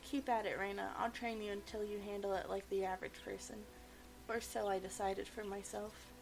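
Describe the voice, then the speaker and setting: A woman's voice: medium pitch, little pitch movement, moderate pace, vocal fry, faint music behind, natural voice, poor audio. Woman reading as a wry teenage schoolboy. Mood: mock stern. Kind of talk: visual novel character voice.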